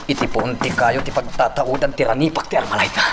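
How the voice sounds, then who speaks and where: A man's voice: mid-range voice, a little fast, heavy background noise, natural voice. A man playing a wary gunman who narrates his danger in a radio drama. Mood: wary, tense.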